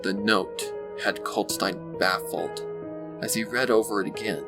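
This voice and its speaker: A man reading a scripted dark adventure story as its narrator, in audiobook style. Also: on the low side, steady tone, a little slow. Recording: music bed behind the voice. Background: loud background music.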